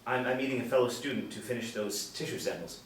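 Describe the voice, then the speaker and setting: A man's voice: mid-range voice, fast talker, lightly acted. Man reading as flustered student, very echoey room. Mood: flustered, apologetic. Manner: hesitant, stammering. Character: flustered, apologetic young male university student. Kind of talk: script table read. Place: small indoor room, group table reading. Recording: echoey room, distant mic, faint noise.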